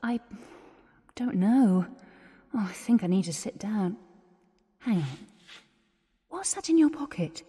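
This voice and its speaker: A woman's voice: medium pitch, sing-song, slow pace, pauses often, mellow, breathy edge, full character voice. An actress playing an exasperated, bossy young woman of the 1920s in a radio play, with a prim English accent. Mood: shaken. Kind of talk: radio theatre acting. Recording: long echoing hall, music behind.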